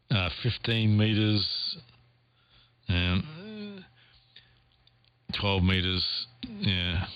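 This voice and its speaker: A man's voice: fairly low pitch, a bit bright, smooth, no room sound, highs cut off. A man talking casually as an amateur radio operator, thinking aloud and repeating phrases as he goes. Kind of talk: casual ham radio contact.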